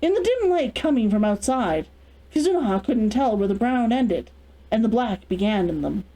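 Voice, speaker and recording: A woman reads a story aloud as the host of a read-along. Her pitch is on the high side. Her narration is expressive, rising and falling in a lively way. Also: normal speed, poor audio.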